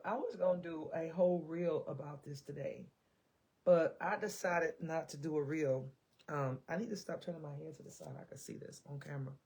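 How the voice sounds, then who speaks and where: An American woman vlogger talking casually to camera at home, emphatic and folksy, repeating phrases for emphasis, her voice a little worn as she loses it. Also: low-pitched. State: losing her voice. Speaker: self-care and parenting vlogger. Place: indoors at home.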